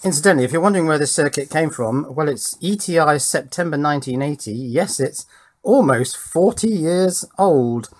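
A man's voice: on the high side, lively intonation, moderate pace. A man giving an unscripted technical explanation in a musing, methodical way, as the presenter of an electronics tutorial. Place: indoors at a workbench.

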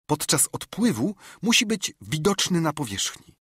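A man's voice: fairly high pitch, very animated, normal speed, fairly bright tone, slightly rough, no room sound, clean studio sound, voice pushed up, exaggerated voice. A man voicing a frightened but honourable teenage boy who pleads and holds firm, in an audiobook. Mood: pleading. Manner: pleading, stubborn. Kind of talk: audiobook character reading.

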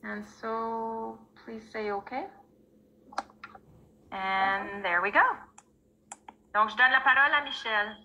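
Woman host, high and very bright, slow and halting, dull lo-fi call audio. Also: fairly even intonation, a little hiss or hum. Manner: hesitant, apologetic, switching French and English. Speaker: event host. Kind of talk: introducing a speaker on a video call. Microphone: video call.

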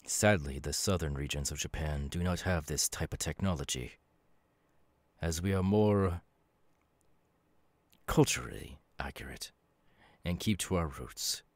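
Man voicing a deep, calm demon warrior. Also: no echo. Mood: calm, knowing. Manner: measured, halting, dramatic delivery. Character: calm male demon warrior, knowing and quietly menacing. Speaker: voice actor. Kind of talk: ASMR audio roleplay monologue.

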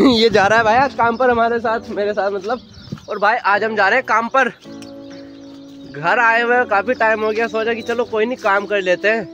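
A man's voice: high voice, fairly even intonation, normal speed, pushed and bright, soft background music. A young man vlogging outdoors in a village with a phone at arm's length, chatting casually and teasing his friends. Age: young adult. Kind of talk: casual vlog chat to camera. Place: outdoors in a village and on a moving bike. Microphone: phone held at arm's length.

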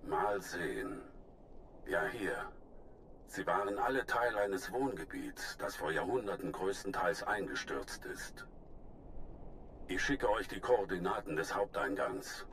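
An actor playing a calm, wise old alien Jedi master in a video game, speaking in a measured, formal way. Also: male voice, deep voice, slight background noise, full character voice. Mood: calm, encouraging. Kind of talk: video game cutscene acting.

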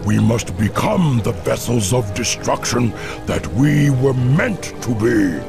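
An actor playing a proud, fierce orc warlord in a video game, rough-voiced, intense and declamatory. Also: male voice, mid-range voice, animated, takes it slowly, music in the background. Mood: grim resolve. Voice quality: rough, gravelly.

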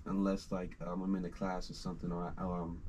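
A man's voice: deep voice, monotone, brisk, darkish tone, slight background noise. A young man, a recording artist, chatting casually in a small home studio, talking through his nose and rambling with slangy restarts. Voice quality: nasal.

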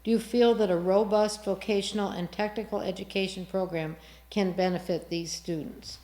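An echoey recording of a woman moderating a forum. Her voice is medium in pitch, and she reads prepared questions in a polite, formal way. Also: fairly even intonation, moderate pace, mellow. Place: TV studio. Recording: echoey, roomy studio sound.

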